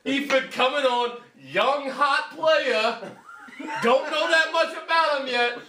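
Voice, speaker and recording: A man's voice: very high voice, takes it slowly, sharp tone. Man hamming up a fake Boston accent. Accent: put-on Boston. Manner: mock Boston accent, crude banter. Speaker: podcast co-host. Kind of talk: joking sports chat. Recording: echoey room, far from mic, crowd noise.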